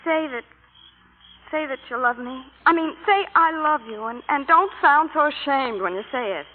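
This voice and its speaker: A pleading, tearful young sweetheart. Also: female voice, sits high, lively intonation, normal speed. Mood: tender. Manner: emotional, pleading, voice often breaks. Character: tender, tearful young woman in love. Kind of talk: 1940s radio acting. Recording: tinny old radio broadcast, faint hiss.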